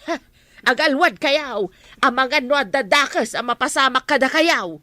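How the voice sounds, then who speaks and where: A woman plays an indignant village scold with an Ilocano accent. Her voice is high and piercingly bright, recorded dry, with blunt comedy-drama delivery. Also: measured pace, dry recording. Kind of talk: Ilocano comedy radio-style drama acting.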